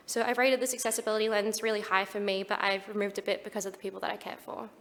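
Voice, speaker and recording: A woman's voice: on the high side, fairly bright tone, in a large hall. A young Australian woman giving an earnest, prepared talk at a conference. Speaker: conference speaker.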